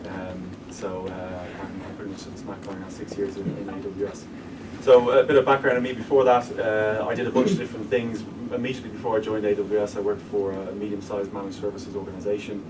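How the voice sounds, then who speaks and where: A man's voice: on the low side, little pitch movement, brisk, hardly any pauses. An Irish man speaking as a guest at a live evening talk, explaining his work in a businesslike way and often restarting his sentences. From Ireland. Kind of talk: interview talk to a live audience. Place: event room with an audience. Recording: distant mic with room noise.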